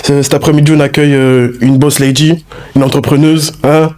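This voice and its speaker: A man's voice: fairly high pitch, little pitch movement, a little fast, darkish tone. A man hosting a casual interview podcast, playful and teasing, with many hesitations. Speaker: podcast host.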